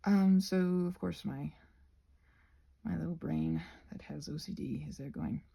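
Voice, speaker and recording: A woman chats casually in a husky, breathy voice with a gravelly creak at the edges. Her tone is soft and mellow, often falling to a near-whisper. She is hesitant and self-deprecating, letting thoughts trail off as she rambles to viewers. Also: sits low, measured pace. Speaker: ASMR creator rambling while crafting.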